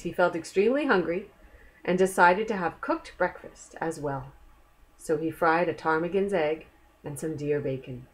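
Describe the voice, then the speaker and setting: Low-pitched woman reading a children's story. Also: poor audio. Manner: expressive, pausing to explain and ask the listener questions. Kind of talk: read-aloud children's story with chatty asides.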